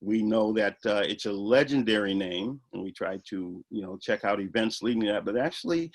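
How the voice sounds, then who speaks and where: A man with a fairly low voice talks about his film project in an interview. He explains eagerly and earnestly, often stopping for "you know" and "uh". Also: a little fast. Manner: earnest, enthusiastic explaining with many fillers. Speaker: filmmaker guest. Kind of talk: interview answers.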